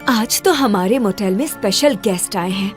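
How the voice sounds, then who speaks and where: A woman's voice: mid-range voice, animated, normal speed, natural voice. A woman voicing a sinister ghostly motel owner who speaks in a menacing, coaxing way. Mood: sinister sweet. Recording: faint music behind.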